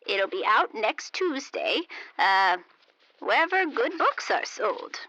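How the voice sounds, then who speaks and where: Elderly lady author, cheeky, genteel, leisurely pace, bright tone, comedy podcast drama. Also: a little high, big pitch swings, slow, highs cut off, exaggerated voice. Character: genteel elderly female romance novelist, cheeky and dotty.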